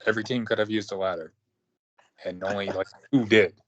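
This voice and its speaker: A man's voice: lowish voice, fairly even intonation, brisk, fairly bright tone, dull audio, a little hiss or hum. A man with a Pittsburgh accent co-hosting a casual podcast, making wry, mocking remarks. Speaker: podcast co-host. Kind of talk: casual chat.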